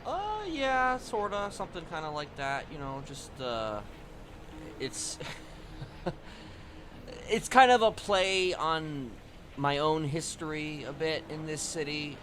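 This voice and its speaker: A man's voice: fairly high pitch, sing-song, takes it slowly, a bit bright. Hesitant, rambling man over background noise. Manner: hesitant, rambling, often saying "you know". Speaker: role-play game player. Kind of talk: casual in-character chat.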